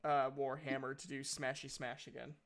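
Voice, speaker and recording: A man acting as game master in a casual tabletop roleplaying session, thinking aloud in a halting way as he works out dice and rules. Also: mid-range voice, little pitch movement, a loud crowd.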